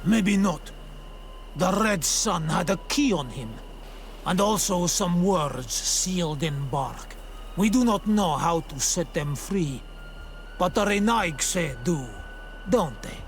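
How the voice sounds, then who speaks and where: An actor playing an earnest, cautious native islander in a video game, with simple, careful phrasing. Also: male voice, sits high, expressive, takes it slowly, slight background noise, exaggerated voice. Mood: earnest, urgent.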